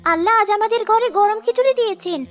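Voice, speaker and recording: A woman's voice: very high-pitched, quick pace, faint music behind. A woman voicing a kind, obedient poor young girl in a cartoon, earnest and polite. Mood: caring. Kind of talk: cartoon dialogue.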